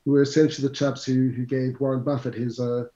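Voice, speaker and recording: Man, South African accent, middle-aged, chatty lecturer, mellow tone, roomy slightly muffled sound. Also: medium pitch, little pitch movement, fast. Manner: chatty, lecturing asides to listeners. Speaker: CFA instructor, guest. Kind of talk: conversational interview answers.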